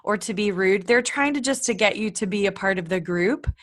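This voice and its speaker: A woman's voice: mid-range voice, animated, a little fast. A woman hosting a kids' podcast, asking questions and affirming her guest in an encouraging way. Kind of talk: friendly interview questions.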